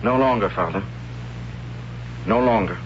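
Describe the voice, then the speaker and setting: A man's voice: lowish voice, unhurried, lightly acted. An actor playing a questioning young man, a priest's son, with an intense, dramatic delivery in 1970s radio drama style. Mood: submissive. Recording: dull, noisy 1970s radio recording.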